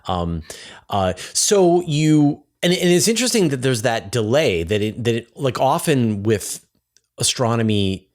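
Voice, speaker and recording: Man, mid-pitched voice, interview host, conversational, halting phrasing, restarts questions. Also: very animated. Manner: halting, rephrasing questions as he goes.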